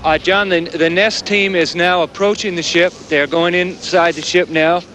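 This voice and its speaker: Male, high-pitched TV drama reporter, shaken and halting, on a faintly noisy outdoor live feed. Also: steady tone, sharp tone. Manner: halting, stammering. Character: shaken male field reporter, dazed after surviving a hostage ordeal. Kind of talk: live on-scene news report. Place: outdoors on a city dock.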